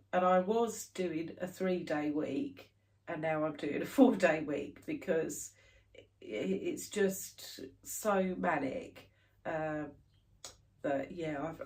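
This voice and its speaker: A woman in her thirties, stuffed up with hay fever, chatting casually to camera about her stitching. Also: deep voice. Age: 30s. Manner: chatty, rambling, many fillers. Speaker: cross stitch vlogger.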